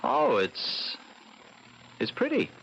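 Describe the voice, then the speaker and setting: An actor playing an ambitious, impatient husband in a vintage radio drama, reasoning and coaxing with exasperation. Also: male voice, very high voice, huge pitch swings, slow pace, creaky, fairly bright tone, full character voice. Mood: impatient, awkward. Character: career-minded young husband, impatient and placating. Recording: dull, noisy old radio broadcast.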